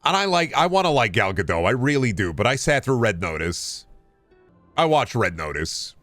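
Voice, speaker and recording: A man chatting casually as a video game streamer, rambling in a halting way and often repeating phrases. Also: medium pitch, big pitch swings, a bit bright, no room sound, faint music behind. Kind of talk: casual rambling livestream chat.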